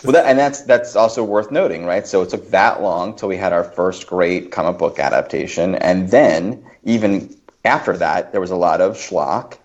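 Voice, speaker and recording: A deep-voiced man talks casually on a podcast panel. He is thoughtful, circling back to qualify his points, and the recording is slightly dull and lo-fi. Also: normal speed. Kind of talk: casual discussion and commentary.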